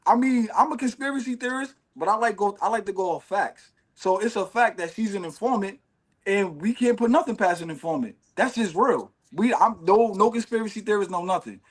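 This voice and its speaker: A man in his late thirties hosting a casual podcast chat, talking in an animated, emphatic way with streetwise slang. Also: sits high, fairly quick. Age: 30s. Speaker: podcast host.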